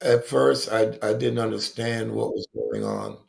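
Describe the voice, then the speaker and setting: A man around sixty with a deep voice, telling his recovery story as a guest in a reflective, halting way with many pauses and ums. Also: huge pitch swings, vocal fry, darkish tone. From Rochester, New York, USA.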